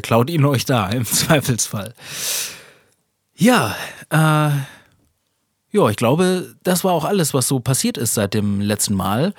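Chatty podcast host, stuffy from a cold, husky and dry, laughs easily. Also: male voice, mid-range voice, dry recording. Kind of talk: casual solo podcast talk. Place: small home studio.

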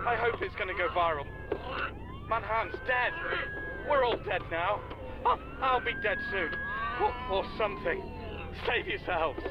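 A man's voice: sits high, expressive, moderate pace, pauses often. A man playing a terrified New York cab driver in a radio drama, stammering and pleading in panic. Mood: frantic, despairing. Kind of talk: radio drama acting as an uploaded eyewitness video. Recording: muffled, very noisy outdoor street clamour.

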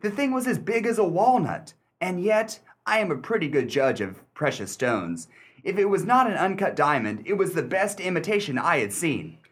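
A man acting as a shrewd, sardonic gentleman narrator in a mystery radio play, speaking with deliberate, theatrical emphasis and dry wit. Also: high-pitched, very animated, breathy edge, exaggerated voice. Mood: amazed.

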